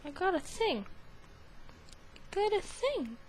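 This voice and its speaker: High, animated woman doing let's play commentary. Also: slow. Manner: playful, rambling, chatty. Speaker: gaming video host. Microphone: webcam recording setup. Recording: game music faintly underneath.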